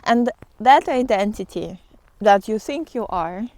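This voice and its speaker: A woman giving an informal spiritual talk to followers in a halting, reflective way with drawn-out pauses, as a vlogger out on a walk. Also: mid-range voice, expressive, darkish tone, dry recording.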